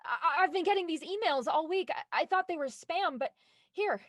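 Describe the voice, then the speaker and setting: A woman's voice: very high voice, expressive, normal speed, no room sound, studio quality. An actress playing a determined, impatient woman with an urgent, insistent manner in an audio drama. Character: Felicity, determined, impatient woman desperate to find her brother.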